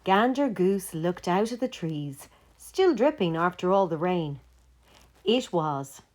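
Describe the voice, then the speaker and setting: A woman storyteller reading to children. Her voice sits a little low, and she goes very slowly and gently, giving each phrase room. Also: animated. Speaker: storyteller reading a picture book aloud.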